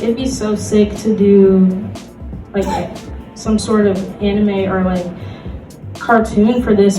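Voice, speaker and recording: A young woman speaks informally as a panelist, chatty and rambling. Her voice sits at a middling pitch. She is picked up from a distance in an echoey room, with faint music. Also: mellow. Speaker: convention panelist. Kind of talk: casual informal panel talk.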